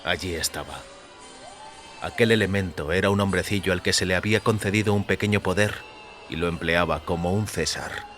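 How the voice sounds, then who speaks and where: A man reading scripted first-person fiction as a narrator, in a measured, literary, sombre manner. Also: sits low, a bit bright. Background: faint music.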